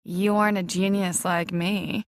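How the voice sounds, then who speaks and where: A woman's voice: on the low side, little pitch movement, a little slow, no room sound, clean studio sound. A woman voicing a smug woman in her thirties with a complaining, drawled way of talking.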